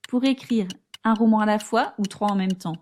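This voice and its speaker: A woman's voice: medium pitch, moderate pace, a little hiss or hum. A woman hosting a book podcast, asking prepared interview questions and reading a book summary. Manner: steady, reads prepared questions. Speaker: podcast host.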